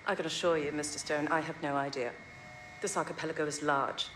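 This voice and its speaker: A woman's voice: deep voice, steady tone, fairly quick, noisy background, close to an everyday voice. A woman playing a guarded corporate executive in a video game, formal and evasive. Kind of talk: video game cutscene acting.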